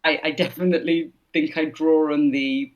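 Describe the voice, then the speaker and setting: A woman's voice: deep voice, fairly even intonation, normal speed, lo-fi sound. A woman from Scotland with no Scottish accent, a voice actor chatting thoughtfully in an interview, with many fillers. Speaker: voice actor, guest. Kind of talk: casual interview chat.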